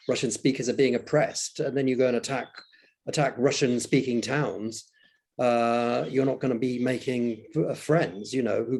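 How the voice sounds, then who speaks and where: A male panelist on a video call with a dark, slightly husky voice. He speaks bluntly, tripping over repeated words as he pushes his point. His pitch sits in the middle. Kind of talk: casual panel discussion.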